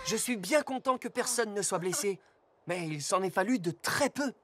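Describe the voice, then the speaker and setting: Earnest, lively young cartoon rescue car. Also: male voice, sits high, normal speed, no echo. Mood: earnest, instructive. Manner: instructive, clearly phrased, earnest. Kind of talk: French children's cartoon dub, safety lesson.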